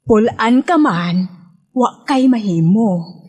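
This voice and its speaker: A woman playing a resigned, measured mother giving advice in a radio drama, with a Visayan Filipino accent. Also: fairly high pitch, animated, a little mellow, breathy edge, exaggerated voice. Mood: resigned, advising. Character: resigned, advising mother of a married daughter. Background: music.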